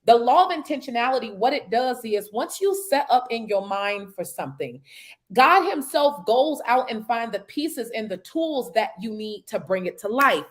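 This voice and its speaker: A woman in her thirties talks like a preacher about success and mindset, with a slightly high voice and firm emphasis. She often drops in "come on" and "mm" to back up what is said. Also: very animated, moderate pace. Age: 30s. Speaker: network marketing mentor. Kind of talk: motivational casual chat.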